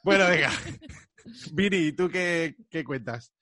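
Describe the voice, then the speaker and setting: A man's voice: fairly high pitch, lively intonation, takes it slowly, sharp tone, no echo, clean studio sound. A man with a Madrid Spanish accent hosting a casual group chat, joking and teasing in colloquial language. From Madrid, Spain. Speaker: host.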